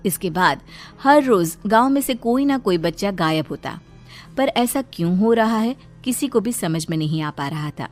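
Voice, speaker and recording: A woman narrating a cartoon story in a steady, even way. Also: medium pitch, moderate pace, soft background music.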